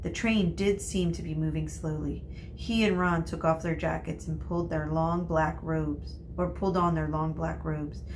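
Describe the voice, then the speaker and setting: A woman teacher reading a children's novel aloud in a deliberate, explanatory way. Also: sits low, fairly even intonation, darkish tone, a little hiss or hum, low-quality recording. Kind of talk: storybook read-aloud.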